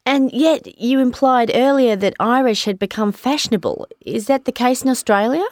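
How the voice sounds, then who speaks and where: An actress playing a friendly radio interviewer asking questions in a scripted exam recording. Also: female voice, on the high side, moderate pace, darkish tone, no echo, clean studio sound, close to an everyday voice. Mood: inquisitive.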